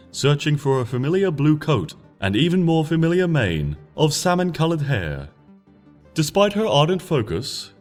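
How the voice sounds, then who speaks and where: A man narrating a story from a script in an expressive, measured audiobook style, with music playing behind him. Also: mid-range voice. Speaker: fan fiction reader, narrator. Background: background music.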